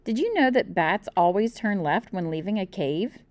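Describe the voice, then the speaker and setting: Storybook brainy brother played by a woman: self-assured schoolboy, factual delivery, lively pitch. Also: moderate pace, no room sound, close to an everyday voice. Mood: know-it-all. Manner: matter-of-fact, reciting facts. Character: confident know-it-all brother, a schoolboy. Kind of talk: children's storybook read-aloud.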